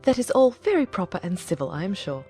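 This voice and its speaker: A woman's voice: medium pitch, very animated, fast, warm tone, soft background music. A woman voicing a gushing, inquisitive middle-aged mother in a dramatized audiobook of a classic novel. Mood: curious, approving. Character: nosy, excitable middle-aged matchmaking mother.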